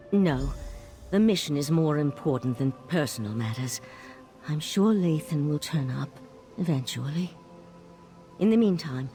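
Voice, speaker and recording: An actress playing a troubled, sardonic mage in a video game, speaking in a weary, confiding way. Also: female voice, sits low, warm tone. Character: troubled, sardonic female Psijic mage, grieving mother. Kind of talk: video game character dialogue. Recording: game music behind.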